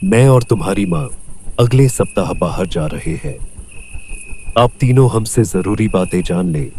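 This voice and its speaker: A man playing a worried older farmer and father in a Hindi cartoon story, speaking earnestly and in measured phrases. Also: sits low, animated, a little mellow, a little hiss or hum. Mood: calm. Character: worried old farmer, father of three lazy sons.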